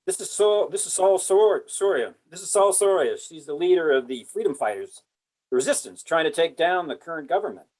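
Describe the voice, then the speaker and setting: A man's voice: a little high, lively intonation, fairly quick, a little mellow, lightly acted, poor audio. A man playing an earnest, exasperated military officer in a script read-through.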